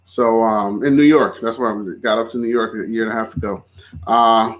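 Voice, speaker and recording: A man's voice: lowish voice, steady tone, normal speed. A man in his thirties from Florida, a podcast guest talking in an easygoing, chatty way. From Florida, USA. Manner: easygoing, chatty, laughs easily. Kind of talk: casual conversation.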